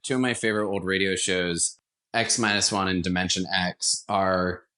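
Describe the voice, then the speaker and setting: Low-voiced, enthusiastic man in conversation. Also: fairly even intonation, moderate pace, bright, forceful tone. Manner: enthusiastic, emphatic. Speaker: podcast guest, theater founder. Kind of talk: casual conversational interview.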